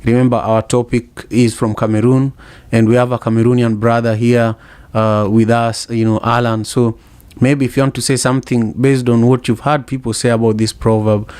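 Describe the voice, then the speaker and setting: A man's voice: medium pitch, normal speed, clean tone, no room sound. A man from Africa hosting a casual live audio-room podcast, speaking steadily with lots of "uh, you know". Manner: steady, filler-heavy with frequent "uh, you know". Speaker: podcast host. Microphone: phone app.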